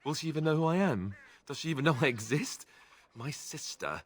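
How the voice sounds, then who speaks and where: A man's voice: fairly high pitch, animated, brisk. An actor playing an earnest, self-conscious young man in a video game, nervously rambling. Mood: nervous hope.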